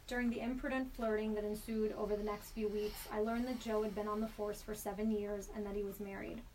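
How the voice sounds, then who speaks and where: A woman in a studio reading a personal story aloud in a measured, deliberate way. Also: medium pitch, level tone, darkish tone. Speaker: storyteller guest. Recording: slightly distant mic with faint background hiss.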